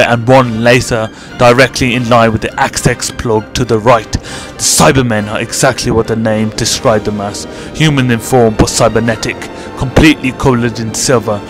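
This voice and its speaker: Male audiobook narrator, medium pitch, reading a sci-fi story over music.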